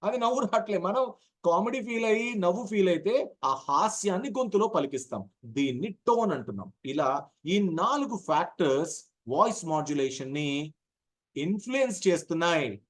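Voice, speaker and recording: A man's voice: sits high, expressive, moderate pace. A man gives an informal lesson. He explains through everyday examples, poses questions and answers them himself, repeating points for emphasis. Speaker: voice modulation trainer.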